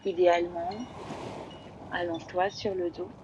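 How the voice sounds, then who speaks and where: Female yoga nidra guide, low-leaning flat intonation, slow and spacious phrasing, prominent surf noise. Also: highs cut off. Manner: slow, unhurried, long pauses between phrases. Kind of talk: guided relaxation meditation. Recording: loud steady ocean-wave noise behind voice. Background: sound of sea waves.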